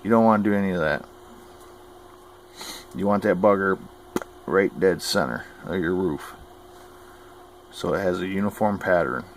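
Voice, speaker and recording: A man with a low voice talks through practical tips in a folksy, opinionated way. He keeps it informal and plain-spoken, like a hobbyist teaching what he knows. There is clear background noise on the recording. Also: moderate pace, pauses often, low-quality recording. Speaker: hobbyist giving CB radio advice. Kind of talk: informal instructional talk.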